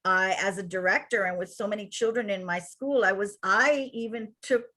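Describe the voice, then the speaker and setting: A woman with a Cuban accent, a childcare center director giving an energetic webinar presentation to attendees over a video call. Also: medium pitch, moderate pace. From Cuba.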